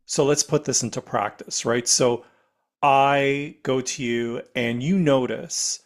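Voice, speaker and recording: A man with a raspy voice, hosting a podcast and putting questions to his guest in a conversational way. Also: mid-range voice, fairly even intonation, slow pace. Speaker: podcast host.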